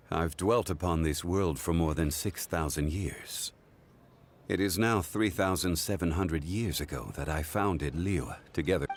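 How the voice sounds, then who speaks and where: An actor playing an ancient god in mortal form in a video game, formal, measured and solemn. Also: male voice, low voice. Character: ancient god living as a composed, scholarly man. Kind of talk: dramatic game voice acting.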